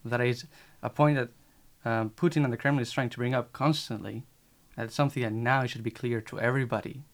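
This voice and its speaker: A man's voice: mid-range voice, steady tone, a little fast. A man speaking on a discussion panel in an earnest, insistent way, repeating his phrases for emphasis. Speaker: panel guest.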